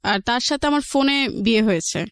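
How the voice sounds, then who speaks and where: A woman with a Bangladeshi accent tells her life story as an interview guest in a fairly high voice. She goes haltingly, repeating phrases for emphasis, and the sound is dry. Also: lively intonation, dry recording.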